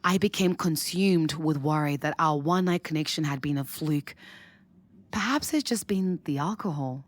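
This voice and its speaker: Young single woman, low voice, wry n anxious about love, talks like she's confiding, podcast drama. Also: normal speed, no echo. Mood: reflective narration. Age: young adult. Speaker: lead character and narrator. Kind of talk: naturalistic audio drama dialogue and first-person narration.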